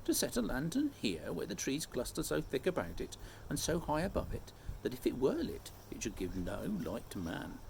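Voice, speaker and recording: A man's voice: mid-range voice, wildly swooping pitch, normal speed, vocal fry, noisy background. A man voicing noble young storybook kings in solemn, formal, archaic courtly speech. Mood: puzzled.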